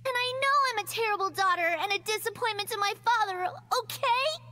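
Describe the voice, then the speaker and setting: A woman voicing a sharp-tongued, defensive young girl in a video game, with a sarcastic edge. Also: extremely high pitch, brisk. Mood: hurt, defensive.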